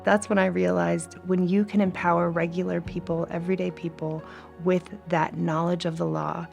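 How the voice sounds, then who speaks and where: Earnest woman with a gravelly edge. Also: lowish voice, flat delivery, normal speed, a little mellow, faint music behind. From Mexican American, grew up speaking Spanish. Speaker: law alumna, nonprofit executive director. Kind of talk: interview for a tribute video.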